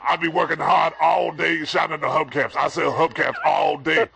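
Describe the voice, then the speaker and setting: A man on a comic prank call, rambling and complaining over and over, sometimes shouting at someone in the room. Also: a little high, flat delivery, moderate pace, creaky. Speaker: prank caller. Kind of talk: comic phone rant.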